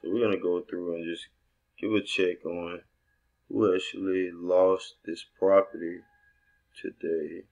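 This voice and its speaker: A man's voice: sits low, level tone, a little slow, darkish tone, muffled recording. A man talking through a screen-recorded tutorial for his assistants, loose and conversational, thinking aloud with restarts. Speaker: real estate investor training assistants.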